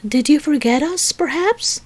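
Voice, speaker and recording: A woman voicing a gentle, soothing male bear in a children's story, with a mild, peacemaking manner. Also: high-pitched, a little slow, a little hiss or hum, voice pushed up. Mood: puzzled.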